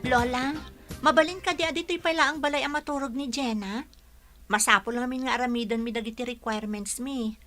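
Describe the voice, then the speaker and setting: An actress playing an anxious young college student in a radio drama, with a Filipino accent. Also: female voice, high voice, steady tone, a little fast, bright, forceful tone, faint music behind. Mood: earnest.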